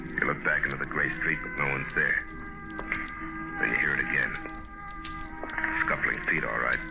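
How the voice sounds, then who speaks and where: A hard-boiled detective speaks in a deep voice over prominent music. He is world-weary, terse and wry, in the manner of 1950s radio noir. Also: steady tone, normal speed, gravelly, poor audio. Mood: tense, brooding. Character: hard-boiled, cynical, tough male private detective. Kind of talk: 1950s radio noir acting and second-person narration. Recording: old radio recording with music.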